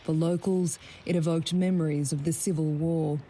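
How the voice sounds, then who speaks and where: A woman's voice: sits low, fairly even intonation, normal speed, dark tone, rough recording. A woman with an Australian accent reading a scripted news report.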